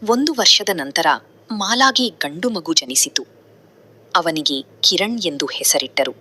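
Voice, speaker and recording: A woman's voice: on the low side, sing-song, unhurried, bright, forceful tone, breathy edge. A woman narrating a scripted moral story.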